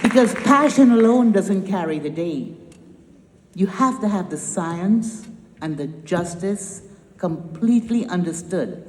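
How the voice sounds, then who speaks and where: A woman's voice: fairly low pitch, animated, unhurried, a little mellow, some chatter behind, a little hiss or hum. A woman from Trinidad with a Trinidadian accent, founder of a nonprofit giving an emphatic, rousing speech with wry humor. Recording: echoey hall with long reverb.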